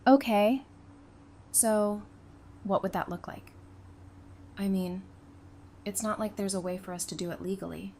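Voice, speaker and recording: A woman plays an affectionate girlfriend in a post-apocalyptic audio drama, with a mid-pitched voice. Her delivery is casual and gently teasing, over noticeable background noise. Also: a little slow, pauses often, lightly acted. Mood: surprised, thoughtful. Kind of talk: fan audio drama acting.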